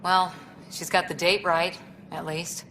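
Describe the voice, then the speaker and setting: A skeptical female space crewmate in a video game. Her lowish voice is dry and sardonic, over faint background noise. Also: level tone, unhurried, pushed and bright, poor audio. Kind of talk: naturalistic video game acting.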